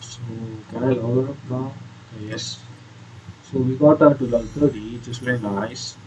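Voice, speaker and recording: A man's voice: mid-range voice, fairly even intonation, normal speed, background noise. Hesitant man commenting on a game. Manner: hesitant, explanatory. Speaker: gaming video creator. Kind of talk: casual playthrough commentary.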